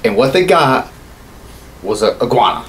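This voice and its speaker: A man's voice: mid-range voice, big pitch swings, normal speed, a bit bright. A man in his thirties co-hosting a podcast in a casual, opinionated chat, rambling and swearing often. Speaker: podcast co-host. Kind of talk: casual opinionated chat about films and games. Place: small home studio room, indoors. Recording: clear background room noise.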